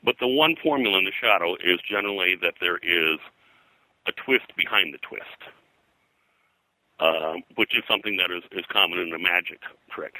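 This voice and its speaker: A man talking informally as an interview guest and historian, rambling and haltingly, often repeating words. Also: sits low, pushed and bright, dry recording, low-quality recording. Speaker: guest, pop culture historian. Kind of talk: informal interview talk about pulp fiction history.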